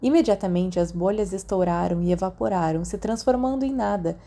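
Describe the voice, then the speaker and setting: A Brazilian woman narrates a work of fiction. Her voice is mid-pitched and distinctly mellow. She reads from a script in the manner of an audiobook. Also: fairly quick, vocal fry.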